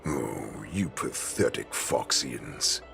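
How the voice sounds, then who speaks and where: An actor playing an ancient, menacing wolf warlord in a video game, slow, scornful and grandiose. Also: male voice, rumbling low, lively intonation, creaky, over music, full character voice. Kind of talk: video game character acting.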